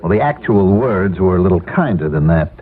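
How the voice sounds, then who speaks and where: A man's voice: low-pitched, sing-song, fairly quick, a little hiss or hum. An American actor playing a weary, bitterly wry middle-aged office man in a 1970s radio drama, with a brooding, dramatic delivery. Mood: resigned, curt. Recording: tinny old radio broadcast with music.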